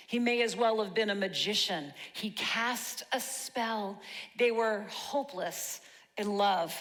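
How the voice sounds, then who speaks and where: A woman in her fifties performing a rehearsed, rhyming spoken-word monologue with dramatic, deliberate pauses. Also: fairly high pitch, a bit bright. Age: 50s. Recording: long hall reverb.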